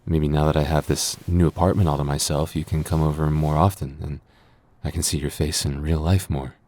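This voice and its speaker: A man's voice: rumbling low, fairly even intonation, a little fast, a little mellow. A man playing a flustered young man with a secret crush in an intimate boyfriend ASMR roleplay, stammering and getting defensive. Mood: playful. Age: young adult.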